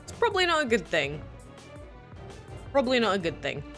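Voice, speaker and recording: A woman's voice: on the high side, wildly swooping pitch, a little fast, a bit bright, faint music behind. A young woman in her late teens, live streaming and chatting casually with viewers as she reads their messages aloud. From England. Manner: chatty, rambling, frequent "uh" and "basically".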